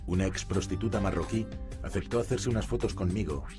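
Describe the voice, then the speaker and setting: Male narrator for a documentary, very low voice, steady first-person read over clear music. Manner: calm, measured first-person telling. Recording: music playing behind.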